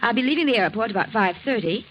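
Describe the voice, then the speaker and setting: An actress playing a poised, falsely polite, scheming American wife in a 1940s radio drama, with a genteel, pointed way of talking. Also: female voice, on the high side, expressive, quick pace, close to an everyday voice. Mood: calculating, polite. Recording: muffled, noisy old radio recording.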